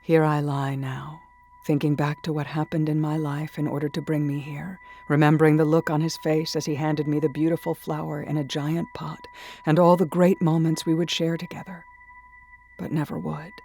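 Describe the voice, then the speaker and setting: A woman reading a first-person horror story in a hushed, dreamy way that slips into whispers. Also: low voice, level tone, normal speed. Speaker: narrator. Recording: soft music behind.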